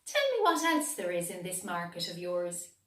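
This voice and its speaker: A woman voicing a clever, confident female cat in a storybook, asking brisk, bossy questions. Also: lowish voice, huge pitch swings, sharp tone, echoey room, far from the mic, heavily acted.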